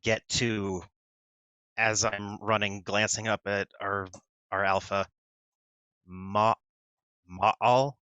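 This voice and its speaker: A woman voicing her werewolf character in a deep, clipped, halting way. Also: little pitch movement, fairly bright tone, clean tone, dry recording, muffled recording, full character voice. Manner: clipped broken speech in character.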